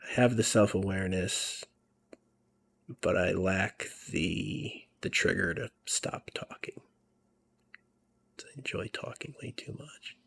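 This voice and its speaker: A man giving casual, rambling commentary over a video game, musing about himself and often dropping to a soft near-whisper. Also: deep voice, slow, gravelly, pauses often. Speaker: let's play commentator.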